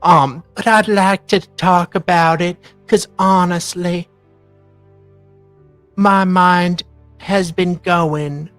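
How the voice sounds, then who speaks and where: A woman co-hosting a livestream, reading messages aloud in a halting, deliberate way with casual reactions. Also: fairly low pitch, steady tone, no echo. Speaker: livestream co-host. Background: music.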